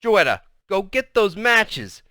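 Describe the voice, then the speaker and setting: A man voicing a furious, strict mother giving slow, stern commands in a menacing hiss in a storybook reading. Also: mid-range voice, huge pitch swings, bright, forceful tone, dry recording, clean studio sound, heavily acted. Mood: stern fury. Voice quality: hissing.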